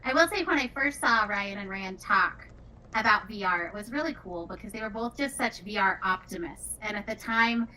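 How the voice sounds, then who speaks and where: Bright-voiced woman, slightly distant mic. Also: medium pitch, lively intonation, normal speed. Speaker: game developer being interviewed. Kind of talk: casual developer talk. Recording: distant mic, faint background noise.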